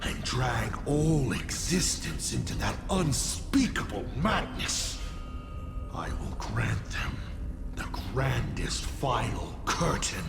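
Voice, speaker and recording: An actor playing a male game character who speaks in a measured, grave, philosophical way. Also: medium pitch, vocal fry. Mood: solemn. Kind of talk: dubbed game dialogue. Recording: game audio with music and reverb.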